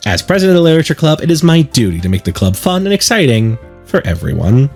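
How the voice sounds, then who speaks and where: Man reading as a husky, encouraging schoolgirl club president. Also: fairly high pitch, big pitch swings, fast talker, darkish tone, soft background music, pitched above the performer's own voice. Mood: upbeat. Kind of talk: reading visual novel dialogue.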